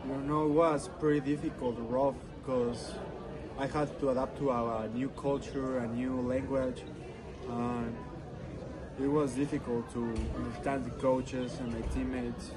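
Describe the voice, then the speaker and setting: A man with a middle-pitched voice giving conversational interview answers. His non-native English comes out hesitantly, over the noise of a busy crowd outdoors. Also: little pitch movement, a little slow, rough recording. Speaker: interview guest.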